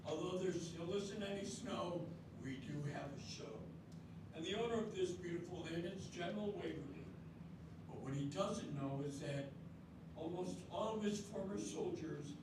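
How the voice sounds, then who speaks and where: An older man with a high voice narrates a stage show in a brisk announcing manner. He is heard from a distance in a strongly echoing hall, with room noise behind him. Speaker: narrator and master of ceremonies in the play. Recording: echoey hall from far off.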